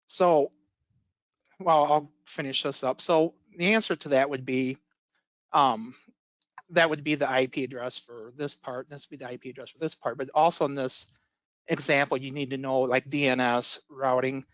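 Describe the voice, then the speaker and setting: A man who is an IT instructor, giving a casual webinar presentation, with a voice worn slightly hoarse from illness and many fillers and restarts, on a computer mic. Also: medium pitch, fairly even intonation, normal speed, fairly bright tone, no echo. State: losing his voice from illness.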